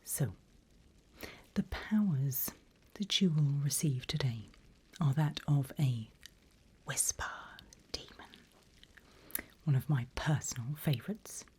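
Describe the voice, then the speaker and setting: Female ASMR demon guide: mellow, breathy whisper, unhurried, teasing and quietly menacing. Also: a bass voice. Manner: slow, deliberate, playful menace. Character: seasoned female demon mentor, sly and teasing. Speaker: ASMR roleplay performer. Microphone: close ASMR microphone.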